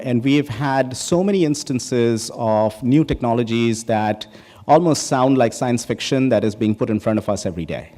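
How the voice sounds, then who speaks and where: A man's voice: medium pitch, darkish tone. A man from India in his forties giving an opening talk at a conference, casual and joking, with many ums and restarts. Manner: conversational, many ums and restarts, jokes with the audience. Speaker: keynote speaker. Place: large conference hall stage. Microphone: podium microphone. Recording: reverberant hall.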